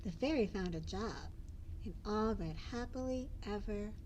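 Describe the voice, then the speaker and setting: A woman's voice: mid-range voice, lively intonation, a little slow, darkish tone, a little hiss or hum. A woman narrating a children's storybook expressively, as a librarian does at story time.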